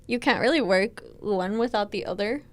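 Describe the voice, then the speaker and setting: A woman's voice: medium pitch, normal speed. A young woman who grew up near the border, answering interview questions casually with many fillers. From Tijuana area. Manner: relaxed, filler-heavy, laughs easily.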